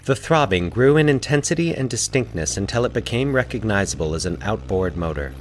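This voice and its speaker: A man's voice: lowish voice, expressive, brisk, slight background noise. A man reading scripted fiction as the narrator of a story podcast. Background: faint atmospheric sound effects.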